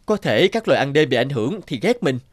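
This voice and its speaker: An actor voicing a proud but well-meaning sun in an animated fairy tale. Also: male voice, medium pitch, fast talker, no room sound. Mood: puzzled.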